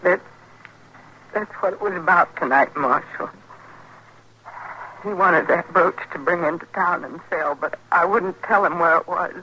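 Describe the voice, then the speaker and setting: An actress playing a worn-down, frightened frontier wife, halting and rustic, with an American accent in 1950s radio western style. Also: female voice, on the low side, pushed and bright. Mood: wistful, sorrowful. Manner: halting, stammering, rustic speech. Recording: noisy old radio drama recording.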